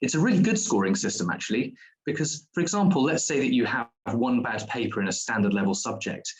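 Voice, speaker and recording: A man's voice: mid-range voice, expressive, fast, rough recording. A man presenting a school's diploma courses at an online open day, explaining at length with frequent ums and restarts.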